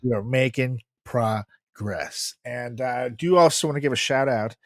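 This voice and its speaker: A man's voice: mid-range voice, measured pace, no echo, clean studio sound. A man co-hosting a podcast in casual chat, joking and laughing often as he hypes the show. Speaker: podcast co-host.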